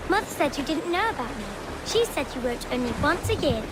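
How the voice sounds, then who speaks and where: Young English girl, game cutscene: candid, inquisitive, animated high pitch, noisy sea backdrop. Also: brisk. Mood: probing. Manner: inquisitive, precise, matter-of-fact. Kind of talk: naturalistic video game cutscene acting. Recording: noisy wind, waves and creaking ship.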